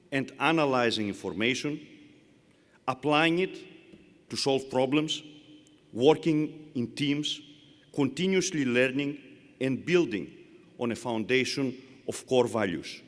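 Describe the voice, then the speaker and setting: A middle-aged man with a Greek accent, a university chancellor giving a measured, emphatic commencement speech in a large arena. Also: mid-range voice. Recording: long arena reverb.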